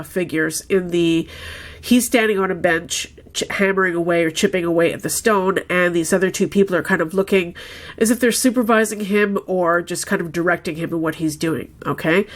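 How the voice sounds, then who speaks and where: A woman with a stuffed-up, nasal voice from a head cold, chatting casually through a weekly tarot reading and often checking in with "okay?". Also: on the low side. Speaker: tarot reader.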